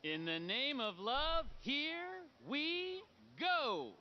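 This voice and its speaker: Carnival barker: slick, showy flatterer, wildly animated delivery, screen drama. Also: male voice, very high voice, extremely slow, highs cut off, exaggerated voice. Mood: showy, teasing. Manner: showy sales patter, announcing shots. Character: slick, fast-talking, flattering carnival game barker man. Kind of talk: naturalistic screen acting.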